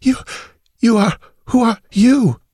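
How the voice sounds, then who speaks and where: A man's voice: extremely high pitch, a little mellow, husky, no room sound, studio quality, voice pushed up, exaggerated voice. A man voicing a frail, frightened young girl who speaks weakly in gasps, in an audiobook story. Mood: frightened, wary. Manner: gasping, weak, halting.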